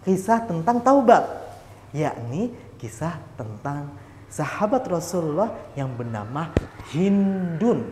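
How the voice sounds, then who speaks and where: Slow, echoey male children's storyteller. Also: a little high, a little hiss or hum. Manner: slow, lively, quizzing the children. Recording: echoey hall with faint ambience.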